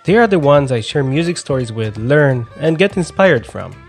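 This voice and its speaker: A man's voice: mid-range voice, animated, normal speed, soft background music. A man hosting a podcast, speaking in a personal, storytelling style. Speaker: podcast host; violinist. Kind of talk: personal narration and conversation.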